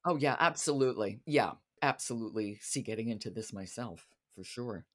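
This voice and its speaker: Low-voiced, animated woman hosting. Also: brisk. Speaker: host. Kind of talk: conversational audiobook interview.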